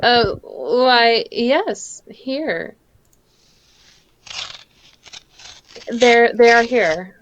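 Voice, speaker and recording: Woman playing a nervous, high-voiced, naive photographer. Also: expressive, extremely slow, highs cut off. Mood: uneasy. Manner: hesitant, stammering, courteous. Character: nervous, polite, naive photographer, easily startled. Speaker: amateur voice actor in live table read. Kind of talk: old-time radio drama acting.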